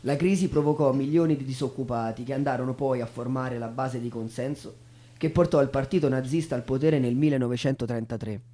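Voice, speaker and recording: A man performs as a stage narrator. He recites in a theatrical, dramatic style, with a voice of medium pitch for a man. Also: a little fast, dark tone.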